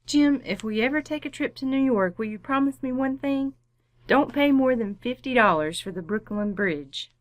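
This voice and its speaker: Suburban wife for radio comedy, played by a woman: higher-pitched, firm and polite, with cool sarcasm, close dry sound. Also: normal speed, no room sound, natural voice. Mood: annoyed, sarcastic. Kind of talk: old-time radio sitcom acting.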